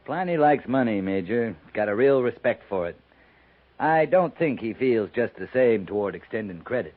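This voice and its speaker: An actor playing a laconic, plain-spoken cavalry captain in a 1950s radio western, dry and understated. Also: male voice, mid-range voice, lively intonation, moderate pace, close to an everyday voice. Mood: wry. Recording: narrow, faintly noisy 1950s radio recording.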